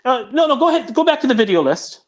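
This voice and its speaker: A high-voiced man chats on a call, eagerly and insistently steering the conversation. He talks fast at times and often cuts in to keep things moving. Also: big pitch swings. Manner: eager, directive, talks over others. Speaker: audio drama producer, guest. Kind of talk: casual video-call chat.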